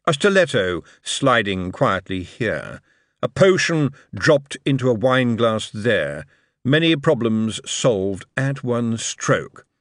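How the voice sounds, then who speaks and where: A man acts out a suave tyrant in a storybook. He speaks slowly and precisely, with cool irony and quiet menace, in a very dry acoustic. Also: medium pitch, wildly swooping pitch, dry recording, exaggerated voice. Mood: cool, menacing. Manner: measured, ironic, precise.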